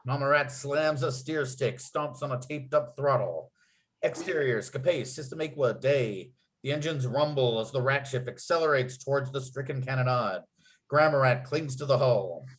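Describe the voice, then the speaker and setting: A man's voice: mid-range voice, moderate pace. A man narrating a comedy screenplay at a table read, reading out scene headings and action with punchy delivery.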